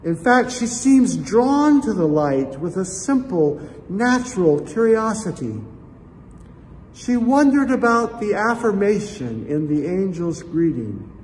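Older guy preaching slow in a church, high voice, long echo off the walls. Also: sing-song, darkish tone. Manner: reflective, addresses listeners as friends, gestures with both hands. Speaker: pastor. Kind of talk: sermon. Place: church sanctuary, indoors. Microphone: camera at chest height, seated facing it. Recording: long church reverb, faint room noise.

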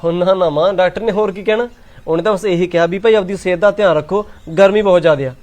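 A man plays a patient young son who keeps soothing his upset mother. His voice sits high for a man and he talks very quickly, piling on explanations. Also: fairly even intonation, a little mellow, lightly acted. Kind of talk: family drama acting.

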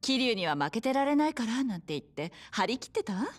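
A woman playing a warm, teasing, motherly bar hostess in a Japanese game drama, easygoing and familiar. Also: a little high, big pitch swings, fairly quick, soft background music. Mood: relaxed, caring. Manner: easygoing, familiar, gently chiding.

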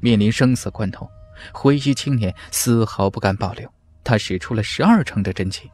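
A man's voice: on the low side, moderate pace, a little mellow, no room sound. A man narrating a fantasy martial arts audiobook in a dramatic, scripted style.

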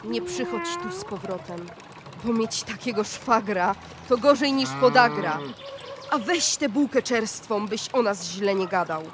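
An actress playing a spiteful, miserly sister-in-law, sharp and scornful, in a fairy-tale audio play. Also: female voice, fairly high pitch, animated, a little slow, background noise.

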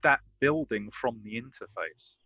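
A man speaks casually while working out a plan as a role-playing game player. His voice is bright and forward, at an average pitch. The sound is close and dry. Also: a little slow, no echo, lo-fi sound. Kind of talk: casual in-game planning talk. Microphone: close mic on a group video call.

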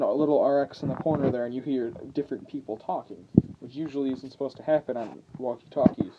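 Man, average pitch, hesitant amateur demo talk, indoor handheld camera sound, muffled and faintly noisy. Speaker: amateur video maker. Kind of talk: casual, rambling product demonstration. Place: indoors, at a wooden table. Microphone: handheld camera's built-in microphone. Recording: dull lo-fi camera audio with noise and clicks.

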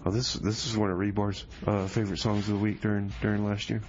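A man's voice: on the low side, level tone, fast, darkish tone. Muffled, rambling male radio host. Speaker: community radio music show host. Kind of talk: casual radio DJ chat and comedy banter. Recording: muffled radio recording with noise.

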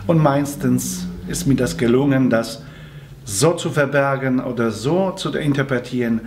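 A middle-aged man with a Polish accent sits indoors and answers fans' questions one by one. His phrasing is careful and a little halting, as someone speaking a second language, with a faint hiss of background noise under his voice. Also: medium pitch. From Poland. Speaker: musical theatre actor answering fan questions. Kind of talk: seated video interview. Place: small room with red curtain and patterned wallpaper, indoors.

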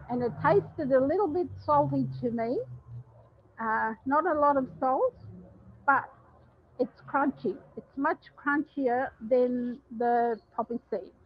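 An older Australian woman, a chef, talking casually about her cooking on a headset mic in a kitchen, with many ums and pauses. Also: high voice, muffled recording. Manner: warm, plain-spoken, many ums and pauses. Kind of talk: casual cooking talk to a webinar.

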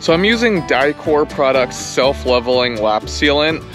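A man's voice: mid-range voice, moderate pace, loud music behind the voice. Laid-back bearded man filming himself outdoors. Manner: relaxed, practical, talks straight to camera. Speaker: vlogger. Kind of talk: casual explaining how-to vlog. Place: outdoors on a camper roof in woods. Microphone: camera held at arm's length.

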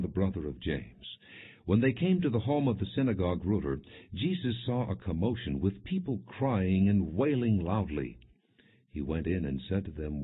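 A man narrating an audio Bible in a measured, solemn, scripted reading style, as an audiobook narrator. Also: low-pitched, slightly rough. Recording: dull, muffled lo-fi audio.

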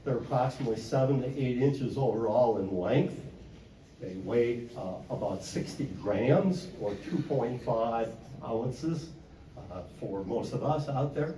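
An older man from Wisconsin giving a convention talk on birds in a loud, plain-spoken way, with many "uh" fillers, into a handheld mic held away from his mouth. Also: mid-range voice, expressive, a little slow, recorded from a distance, slight background noise. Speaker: conservation speaker. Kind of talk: convention presentation. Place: convention hall. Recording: echoey hall, mic from afar.

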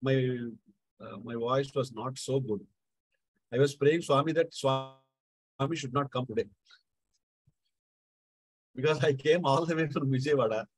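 A middle-aged man with a South Indian accent tells a devotional anecdote casually, halting and repeating phrases. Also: medium pitch, husky, no echo, poor audio. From Andhra Pradesh, India. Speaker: Carnatic musician, guest speaker.

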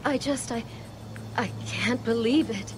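A woman's voice: a little high, unhurried, slight background noise, close to an everyday voice. A woman voicing a refined, anxious female ruler in a video game, with formal, proper and hesitant speech. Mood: stunned. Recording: music under the dialogue.

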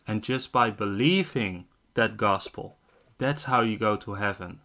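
A man's voice: fairly low pitch, a little slow, pushed and bright. Young man preaching insistently. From Netherlands. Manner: insistent, quotes scripture. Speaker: street-style online preacher. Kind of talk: evangelistic debate in casual chat.

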